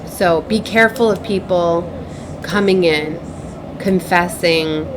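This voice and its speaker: A woman giving an improvised spiritual message as a tarot reader, speaking in a halting, repetitive, earnest and cautioning way. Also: fairly low pitch, background noise. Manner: halting, repeats herself, circles back.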